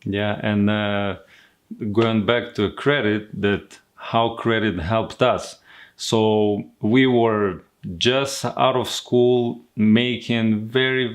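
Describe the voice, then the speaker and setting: A young man from Ukraine with a Ukrainian accent, explaining things casually as a co-host. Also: fairly low pitch. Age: young adult. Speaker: co-host, money management coach.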